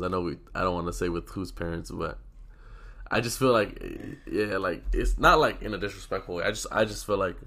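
A man's voice: low voice, gravelly, pushed and bright. A young man co-hosting a podcast in casual chat, sick and coughing, talking in a loose, profane, rambling way. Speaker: podcast co-host.

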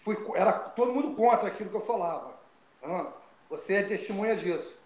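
An older man from Brazil, telling stories of his start in fighting as an interview guest, animated and often restarting his words. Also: high voice, fairly quick, roomy sound, rough recording. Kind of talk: storytelling interview.

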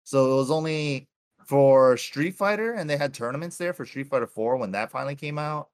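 A relaxed man in his thirties, from Hawaii, talking as a guest on a podcast. His talk is loose and friendly, with "dude" and "man" all through it. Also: mid-range voice, brisk, clean tone. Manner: laid-back, keeps calling people "dude". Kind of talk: casual chat.

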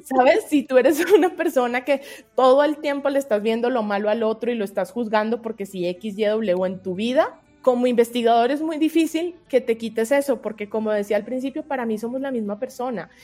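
Colombian female interview guest, slightly high pitch, earnest and expressive, offering advice conversationally. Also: brisk, hardly any pauses, faint music behind. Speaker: podcast guest, researcher.

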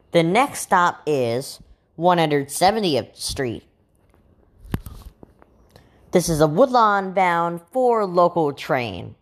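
Slow, melodic woman making train announcements. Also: fairly low pitch. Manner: formal, measured, list items set apart by pauses. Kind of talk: scripted transit announcements.

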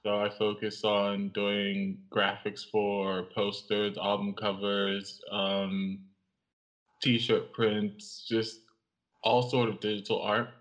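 A young man from Cameroon, a guest in an interview, speaking in a measured, reflective way with some pauses. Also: lowish voice, completely flat delivery, fairly bright tone. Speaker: guest, audiovisual artist and writer. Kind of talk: interview conversation. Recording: lo-fi, slightly dull sound.

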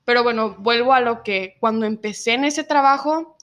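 A nineteen-year-old woman from Mexico with a Mexican Spanish accent, telling her own story in an interview and pausing often on fillers. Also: a little high, a bit bright, lo-fi sound. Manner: reflective, many filler pauses. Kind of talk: personal testimony in an interview.

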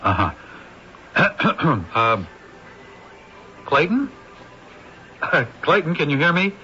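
An eager film producer. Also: male voice, a little high, sing-song, bright, forceful tone, exaggerated voice. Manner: eager, fast-talking, hesitant with fillers. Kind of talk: 1970s radio drama acting. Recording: dull old radio recording with hiss.

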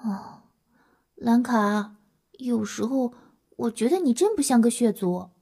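A woman's voice: a little high, lively intonation, slow, mellow, husky, full character voice. A woman voicing a meek but blunt young human in an audio drama, hesitant and understated. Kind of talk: Chinese audio drama acting.

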